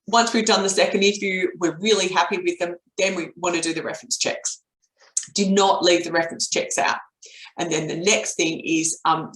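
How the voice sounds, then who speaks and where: Croaky middle-aged Australian woman in an echoey room. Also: low voice, fairly bright tone. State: croaky voice from a cold. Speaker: business coach presenting a webinar. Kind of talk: explanatory webinar talk with step-by-step recap. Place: indoor home office, at a desk. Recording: roomy, echoey webcam audio.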